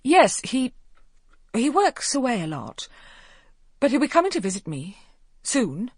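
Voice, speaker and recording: A woman doing a higher-pitched, harried young mother. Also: very animated, a little slow, husky, dry recording, studio quality, exaggerated voice. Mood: firm, flustered. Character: harried young single mother, bright and eager with her mum.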